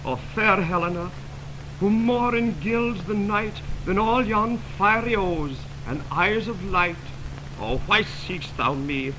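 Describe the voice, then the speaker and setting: A man's voice: high-pitched, takes it slowly. A man playing an ardent, impetuous young lover in theatrical, declamatory 1920s radio Shakespeare style. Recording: noisy 1929 radio broadcast on optical film.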